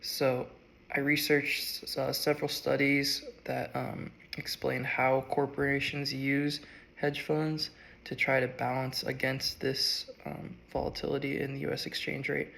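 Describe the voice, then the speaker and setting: A young man, sick and strained, giving a halting class presentation. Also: mid-range voice, completely flat delivery, gravelly, fairly bright tone. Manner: halting, with frequent ums and uhs. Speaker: student.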